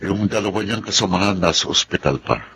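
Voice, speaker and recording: An actor with a Filipino accent playing a grave, sympathetic military officer with a deep gravelly voice in a radio drama. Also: male voice, brisk, fairly bright tone, slight background noise. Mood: solemn, sympathetic.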